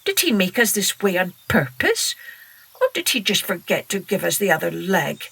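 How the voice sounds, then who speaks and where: A woman voicing a small, worried young male duck who talks in a high, anxious, pleading way. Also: sing-song, normal speed, gravelly, fairly bright tone, husky, exaggerated voice.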